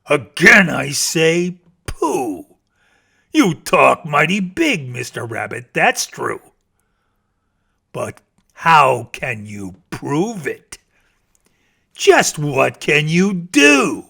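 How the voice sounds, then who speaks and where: A man acts out a big, scornful bear from a children's storybook. He brags in slow, stretched words with a forceful edge and growly snorts. His pitch swings theatrically. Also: sing-song, gravelly, slightly rough, heavily acted. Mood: scornful, boastful. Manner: bragging, emphatic, drawn-out words.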